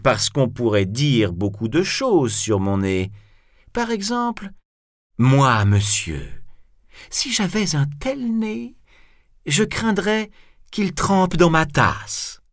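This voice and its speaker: A man's voice: a little high, sing-song, takes it slowly, no room sound, clean studio sound, voice pushed up, exaggerated voice. A man voicing a proud, bold, mocking swordsman in a grandiloquent storybook style. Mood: cool mockery. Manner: grandiloquent, taunting.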